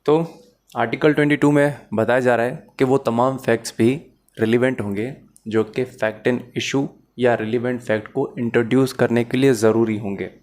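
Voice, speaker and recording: Male law lecturer, Pakistani accent, average pitch, methodical explainer who mixes in English. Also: fairly even intonation, moderate pace, a little mellow. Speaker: law teacher.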